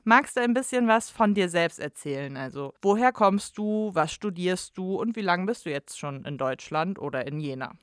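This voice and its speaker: A woman hosting a university podcast, asking questions and explaining things in a clear, organized way. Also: lowish voice, animated, moderate pace, few pauses, no echo, studio quality. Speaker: podcast host, university staff. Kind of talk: friendly podcast interviewing.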